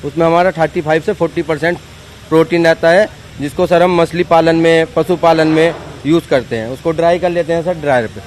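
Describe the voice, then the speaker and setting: A man's voice: a little high, steady tone, fairly quick, darkish tone. A man giving an informal technical explanation in a deferential manner. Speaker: factory representative explaining a process. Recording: outdoor ambient noise.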